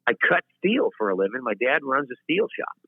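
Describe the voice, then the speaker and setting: A man's voice: mid-range voice, very animated, a little fast, husky, no echo. A man in his fifties, a singer-songwriter guest telling long stories in an interview, rambling and restarting his sentences. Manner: rambling, self-interrupting, laughs at himself. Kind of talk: storytelling interview chat. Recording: tinny phone-line audio.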